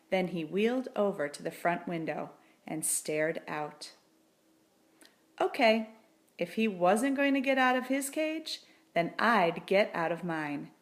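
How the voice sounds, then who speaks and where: Slow-paced woman reading a children's story. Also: lowish voice. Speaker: audiobook reader. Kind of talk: scripted children's story narration.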